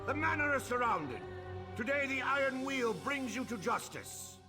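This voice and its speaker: A man's voice: extremely high pitch, very animated, moderate pace, music in the background. A man playing a pompous, accusing chief inspector in a video game, speaking in a declamatory way. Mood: stern triumph.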